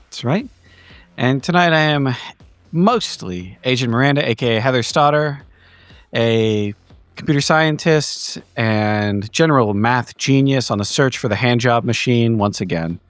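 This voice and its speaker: A man playing a tabletop roleplaying game, describing a scene in clipped, deliberate fragments. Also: mid-range voice, lively intonation, dry recording, soft background music. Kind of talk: vivid improvised descriptive narration.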